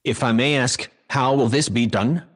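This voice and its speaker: A synthetic male voice playing a calm, helpful AI house assistant in a sci-fi audio drama, courteous and formal. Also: medium pitch, normal speed, lightly acted. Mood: calm, concerned. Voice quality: synthetic text-to-speech sound.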